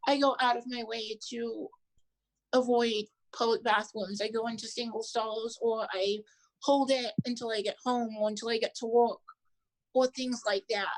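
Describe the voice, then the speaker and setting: A woman's voice: fairly high pitch, poor audio. A woman on a podcast panel talking earnestly in casual chat, leaning on "like" a lot. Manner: earnest, heavy use of "like".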